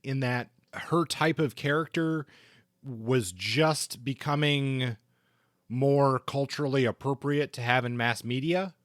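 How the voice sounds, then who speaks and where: A man co-hosting a book discussion, speaking casually in a thoughtful, halting way with repeated words. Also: medium pitch, no echo, studio quality. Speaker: podcast co-host reviewing crime novels.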